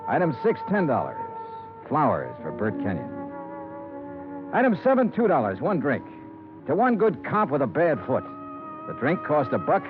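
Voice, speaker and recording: Through a tinny old radio broadcast comes a hardboiled male investigator. His mid-pitched voice swings expressively through short, punchy lines. He sounds wry and world-weary, in classic 1950s radio detective style, with music in the recording. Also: wildly swooping pitch, normal speed, pauses often. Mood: wry, brisk. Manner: clipped, terse, punchy phrasing. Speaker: star of the radio series.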